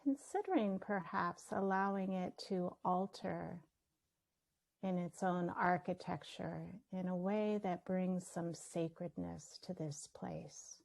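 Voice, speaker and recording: A woman leading a guided meditation, speaking gently and leaving long pauses between her phrases. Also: lowish voice, vocal fry. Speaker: meditation teacher.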